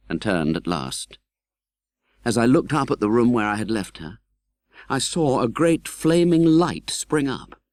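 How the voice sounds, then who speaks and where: A British man playing an earnest, tender-hearted gentleman who recounts his own life in a dramatic, measured audiobook narration. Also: fairly low pitch, dry recording. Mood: subdued, then urgent, dramatic. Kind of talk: dramatic literary audiobook narration in first person.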